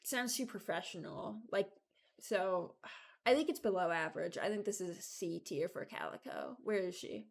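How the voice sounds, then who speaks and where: A woman's voice: fairly low pitch, moderate pace, vocal fry. A woman giving casual, unscripted commentary as a video creator, hesitant and thinking aloud. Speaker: video creator ranking game voice lines.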